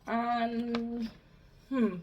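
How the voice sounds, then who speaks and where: A young woman with a slightly raspy voice, chatting casually as she shows her cross-stitch projects. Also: on the high side, monotone, drawn-out words, darkish tone, lo-fi sound, a little hiss or hum. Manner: chatty, rambling, self-deprecating. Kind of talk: casual show-and-tell chat.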